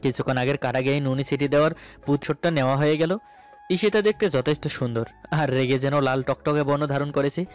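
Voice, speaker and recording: A man's voice: mid-range voice, steady tone, fast talker. A man narrating a romantic story dramatically in the first person, as its male lead. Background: background music.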